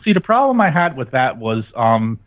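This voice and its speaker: A man's voice: medium pitch, sing-song, moderate pace. A middle-aged man chatting casually as a guest on a talk show over a call. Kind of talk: casual chat. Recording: tinny phone-like line.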